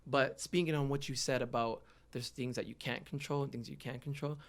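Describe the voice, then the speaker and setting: A man's voice: mid-range voice, steady tone, a little fast, gravelly. Young man, early twenties, earnest and reflective interview guest, conversational delivery. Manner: earnest, reflective, frequent "you know". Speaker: guest, rapper. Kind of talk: casual podcast interview, personal reflection.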